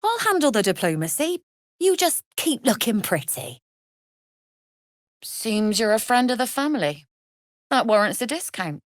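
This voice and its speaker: Shrewd smuggler-merchant woman, video game: wry, businesslike, amoral. Expressive pitch movement, dry studio-close sound. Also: unhurried, pauses often, no room sound. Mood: sly, salesmanlike. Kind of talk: video game character acting.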